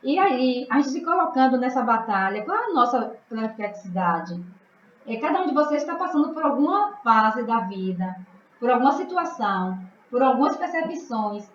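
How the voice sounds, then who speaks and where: Lady moderating a study group call, fairly high voice, echoey muffled line, a bit of hiss. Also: normal speed. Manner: calm, asks questions, many agreeing fillers.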